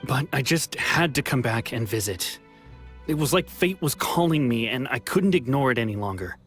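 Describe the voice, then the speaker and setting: A man's voice: medium pitch, expressive, moderate pace, fairly bright tone, lightly acted. An actor playing a weary young man back from exile in a video game, talking in an earnest, wistful way with sighs. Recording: game soundtrack music faint behind.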